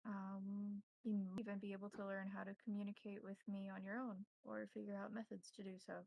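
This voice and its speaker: A woman playing a courteous, cryptic vampire priest and advisor, speaking formally in measured, halting phrases in a tabletop roleplaying game. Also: medium pitch, monotone, vocal fry, warm tone, no room sound, dull audio, close to an everyday voice.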